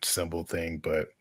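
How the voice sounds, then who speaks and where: A man co-hosting a podcast in casual chat, rambling with frequent restarts and laughter. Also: low voice. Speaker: podcast co-host.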